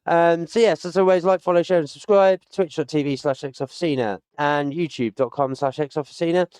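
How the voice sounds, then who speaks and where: A man's voice: on the high side, brisk, a little mellow, clear, resonant tone, no room sound. Rambling British man in his late thirties. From United Kingdom. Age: 30s. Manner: rambling and chatty, with many fillers and stock phrases. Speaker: streamer. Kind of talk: casual sign-off chat to viewers.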